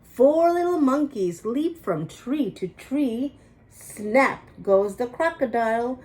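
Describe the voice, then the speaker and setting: A woman reads aloud to young children as a storytime host. Her voice sits fairly high and moves up and down a lot. She takes her time, giving rhymes a sing-song lilt and punching the sound words. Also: lively intonation, slow pace, darkish tone, husky, low-quality recording. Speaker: storytime host reading picture books aloud.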